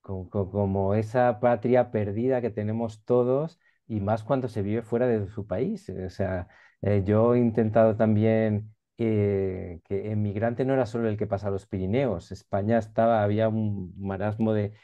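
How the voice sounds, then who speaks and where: A man interviewing a playwright over a video call, speaking haltingly with many restarts. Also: fairly low pitch, steady tone. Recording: dull muffled video call audio.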